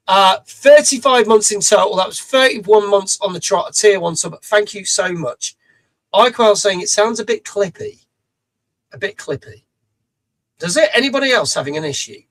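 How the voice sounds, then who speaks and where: High-pitched, rough-voiced man. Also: a bit bright, low-quality recording. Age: middle-aged. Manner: chatty, reads out chat, swears casually. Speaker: live stream host. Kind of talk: casual live chat, greeting viewers. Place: small home studio.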